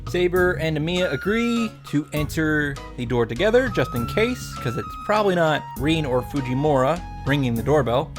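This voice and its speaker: A man giving a casual recap and reaction commentary, with exasperated asides, as host of a visual novel playthrough. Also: on the high side. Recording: music behind the voice. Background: game music.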